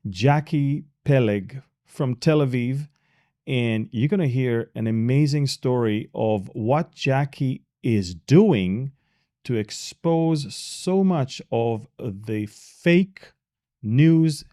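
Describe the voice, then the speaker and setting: A man's voice: mid-range voice, dark tone, dry recording, studio quality. A man hosting a faith podcast, interviewing a guest in a casual, chatty way with frequent restarts. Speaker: podcast host.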